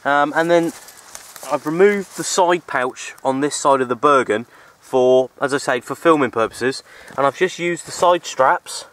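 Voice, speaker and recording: Guy in his 30s doing a casual outdoor vlog, kinda hesitant and rambly, normal pitched voice, camera held at arms length. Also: fairly bright tone. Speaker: hobby radio vlogger. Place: outdoors in open countryside. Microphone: handheld camera at arm's length.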